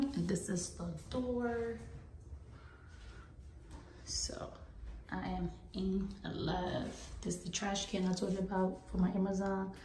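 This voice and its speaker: Woman vlogging a house tour on her phone, gushy but slow and soft-toned, bathroom echo. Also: on the low side, a little hiss or hum. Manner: gushing, drawn-out emphasis. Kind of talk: casual home renovation tour. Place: small tiled bathroom indoors, being remodelled. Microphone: handheld phone behind the camera. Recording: echoey bare bathroom.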